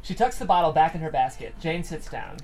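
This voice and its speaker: Casting: female script narrator, low register, highly expressive intonation, live-read feel. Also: brisk, faint music behind. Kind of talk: reading stage directions aloud at a table read. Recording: faint room noise behind voice.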